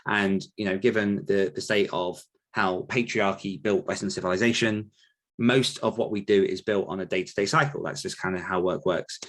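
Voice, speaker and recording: Give a man with a fairly low voice in casual podcast talk. He works through his answers aloud, circling around a point in a rambling, conversational way as a host and coach. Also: fairly even intonation, moderate pace.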